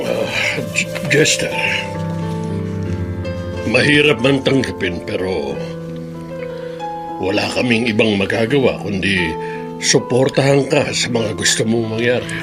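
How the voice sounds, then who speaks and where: Folksy, gentle father, played by an actor. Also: fairly low pitch, expressive, measured pace, pauses often, over music. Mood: supportive. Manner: folksy, gentle, uses fillers like "eh" and "ah". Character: concerned older father, supportive and gentle. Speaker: Jester's father.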